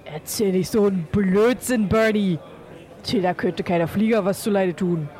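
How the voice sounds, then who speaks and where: A woman playing a sceptical, no-nonsense pub keeper, brisk and dismissive, in an amateur audio drama. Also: mid-range voice, warm tone, lightly acted. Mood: exasperated.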